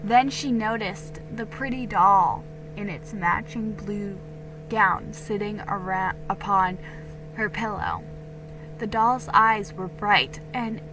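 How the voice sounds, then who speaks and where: A woman's voice: medium pitch, poor audio. A woman giving a dramatic storybook narration, now and then stumbling and repeating words. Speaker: amateur story reader.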